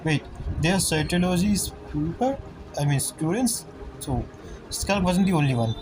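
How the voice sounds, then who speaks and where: A man's voice: a little high, lively intonation, moderate pace, background noise. A man giving casual commentary as he streams a game playthrough.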